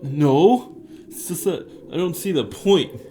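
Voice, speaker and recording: A woman's voice: low-pitched, slow pace, background noise. A woman playing a wary, sardonic companion with a knowing streak in an audio drama. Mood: skeptical.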